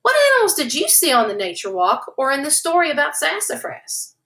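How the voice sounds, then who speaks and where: A young adult woman teacher reading a story aloud and leading a lesson, speaking in an expressive sing-song. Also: high voice, a little fast.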